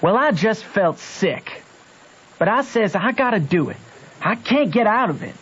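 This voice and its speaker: A man's voice: sits high, very animated, a little slow, fairly bright tone, slightly rough, full character voice. A man playing a conscience-torn backwoods boy with a Southern American accent and folksy, earnest speech in an old-time radio drama. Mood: guilty. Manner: folksy, earnest, ungrammatical rustic talk. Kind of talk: old-time radio drama, first-person narration and dialogue. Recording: dull old radio recording.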